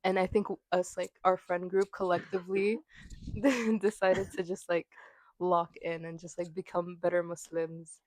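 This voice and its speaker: A young woman in her twenties with a lowish voice talks in a loose, chatty way, full of fillers and little laughs. She is close to a small desk mic, and the sound is dry with no room echo. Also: flat delivery, a little slow, darkish tone. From New York. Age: 20s. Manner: chatty, giggly, many fillers. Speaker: podcast co-host. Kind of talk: casual chat.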